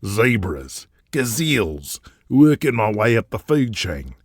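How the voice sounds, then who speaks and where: A man's voice: on the low side, very animated, slow pace, dry recording, full character voice. A man playing a brash, boastful, profane Australian big-game hunter and media tycoon in an audio drama, with an intimidating manner. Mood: boastful reminiscing.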